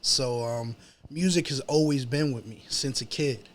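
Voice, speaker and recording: A man in his thirties speaks with a New York accent at an average pitch. His talk is casual and slangy, like a rapper answering questions in a relaxed conversational interview, with plenty of little check-in phrases. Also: a little slow, a little hiss or hum. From Chelsea, Manhattan, New York, USA. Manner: slangy, frequent "you know".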